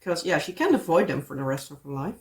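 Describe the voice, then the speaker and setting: A woman's voice: sits low, big pitch swings, fast, darkish tone. A woman with a Dutch accent, a native Dutch speaker, chatting casually in a rambling way as a craft vlogger. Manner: rambling, full of "like" and "yeah". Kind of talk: casual chat.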